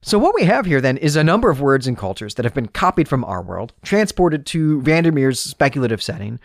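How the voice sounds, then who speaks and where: A man's voice: medium pitch, sing-song, a little fast, no echo, clean studio sound. A man hosting a podcast, giving book commentary in an explanatory, emphatic way. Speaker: podcast host.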